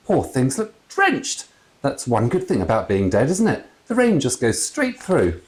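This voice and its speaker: A man voicing a sociable ghost mother in a storybook, brisk, chirpy and fussy. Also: mid-range voice, wildly swooping pitch, voice pushed up. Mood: breezy, chatty.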